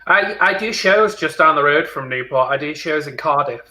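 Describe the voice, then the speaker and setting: A man's voice: a little high, expressive, moderate pace, a loud crowd, poor audio. A man appearing as a guest on a drag livestream, chatting in a bubbly, friendly way. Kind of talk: casual livestream chat.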